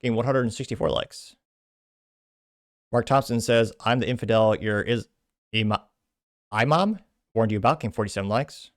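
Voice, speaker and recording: A man's voice: lowish voice, normal speed, long pauses, dry recording. Man casually reading comments, dry close sound. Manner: rambling asides and self-corrections. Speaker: host.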